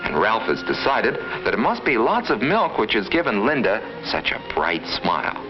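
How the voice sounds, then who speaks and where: A man's voice: fairly low pitch, huge pitch swings, moderate pace. A man narrating a 1950s educational film from a script, in an instructive, storytelling manner aimed at children. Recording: muffled vintage 1950s film soundtrack. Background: background music.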